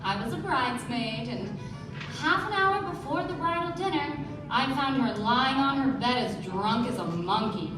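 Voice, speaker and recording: High-voiced young socialite, echoing. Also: female voice, animated, moderate pace. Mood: dramatic storytelling. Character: poised young socialite woman recounting gossip. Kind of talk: dramatic live radio play narration. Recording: echoey stage, distant mic, music behind.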